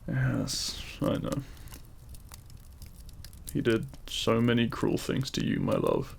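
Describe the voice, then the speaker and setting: Man playing a gravelly, devoted demon king lover. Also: medium pitch, wildly swooping pitch, slow pace, slight background noise, full character voice. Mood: protective, tender. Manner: tender, formal, courtly phrasing. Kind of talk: intimate romantic audio roleplay, ASMR.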